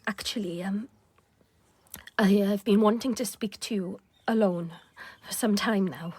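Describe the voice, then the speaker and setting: An actress playing an earnest, passionate British doctor's wife in a radio drama, speaking in an emotional, confiding, halting way. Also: female voice, mid-range voice, a little mellow, husky, dry recording. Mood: earnest, remorseful.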